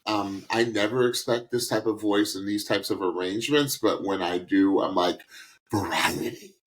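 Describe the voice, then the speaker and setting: A man's voice: fairly low pitch, normal speed, background noise. A middle-aged man giving casual commentary as a podcast co-host, emphatic and repeating words for stress. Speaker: podcast co-host reviewing a singing show.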